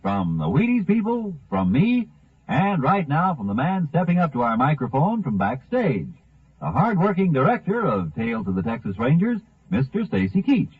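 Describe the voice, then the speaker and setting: A man's voice: a little high, wildly swooping pitch, normal speed, husky. A man announcing a sponsor message in the style of 1950s live radio. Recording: muffled vintage 1950 radio recording.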